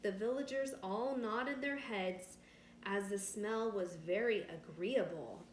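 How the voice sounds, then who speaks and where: A woman reading a picture book aloud in an expressive, deliberate, teacherly way, stressing key words and adding asides for young listeners. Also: mid-range voice. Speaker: read-aloud storyteller. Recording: echoey room with faint background noise.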